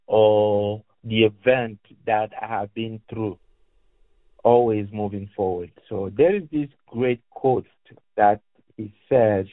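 Slow-speaking Cameroonian man on a tinny line. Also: fairly low pitch. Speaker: guest reader, educator and engineer. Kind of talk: phone interview with reading aloud from a book. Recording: echoey, tinny phone-like line.

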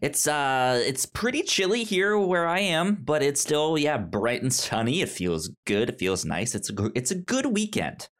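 A man's voice: fairly high pitch, very animated, moderate pace, few pauses, fairly bright tone. A young man hosting a podcast, telling a story in casual chat and often stammering over repeated syllables and words. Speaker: podcast host.

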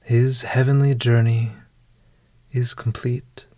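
A man narrating a scripted bedtime meditation as a podcast host, in a hushed, deliberate manner with long pauses. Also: medium pitch, level tone, no echo.

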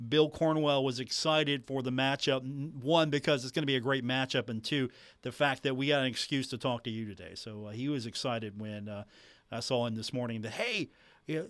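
A man's voice: medium pitch, a bit bright, dry recording. Dry, close-miked male sports radio host. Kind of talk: sports talk radio hosting, conversational. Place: radio station producer's booth. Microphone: studio broadcast microphone.

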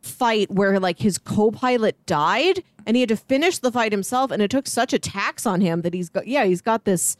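A woman's voice: mid-range voice, expressive, moderate pace, few pauses, no echo. Woman chatting in a dry, close recording. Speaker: podcast co-host. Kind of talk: casual movie discussion.

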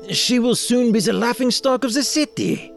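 A man's voice: very high voice, moderate pace, vocal fry, soft background music. An actor playing a blunt, scornful, gossipy male friend in an audio drama. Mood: insistent, blunt.

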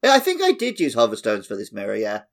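A man's voice: on the low side, wildly swooping pitch, fast, creaky. A man co-hosting a podcast, chatting casually and conversationally about his memories of playing a game. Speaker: podcast co-host. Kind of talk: casual chat about gaming.

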